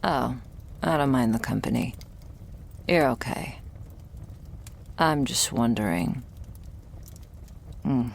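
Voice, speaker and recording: A woman playing a guarded, sardonic young vampire's servant in an intimate ASMR roleplay, speaking softly and dryly, often near a whisper. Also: slow, gravelly, long pauses, slight background noise, full character voice. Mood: wry, probing. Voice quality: low, soft voice often dropping into whisper. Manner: dry, hesitant, foul-mouthed, sighing delivery. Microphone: close ASMR microphone.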